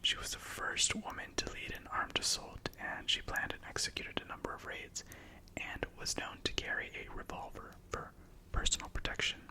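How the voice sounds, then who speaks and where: A man's voice: normal speed, poor audio. A man whose first language is Spanish, whispering numbered facts ASMR-style with casual chatty asides. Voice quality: soft unvoiced whisper. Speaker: ASMR host. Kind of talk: ASMR whispered fact reading.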